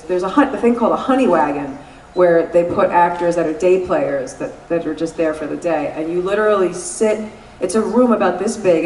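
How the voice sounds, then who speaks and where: A woman's voice: fairly low pitch, a little mellow, a little hiss or hum. A woman with a New York accent giving a candid, laughing convention interview and swearing freely. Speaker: guest actress. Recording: echoey convention room, mic at a distance.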